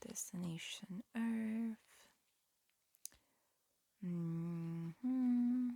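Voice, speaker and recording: A woman performing a whispered ASMR roleplay, mixing breathy whispers with soft speech in a halting, matter-of-fact, instructional manner. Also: mid-range voice. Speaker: ASMR performer playing an alien examiner.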